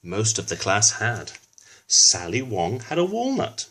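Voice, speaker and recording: Low-pitched man reading a children's storybook. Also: huge pitch swings, measured pace, pushed and bright, breathy edge. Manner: addresses young listeners directly. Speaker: children's book reader. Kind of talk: storybook narration with chatty asides.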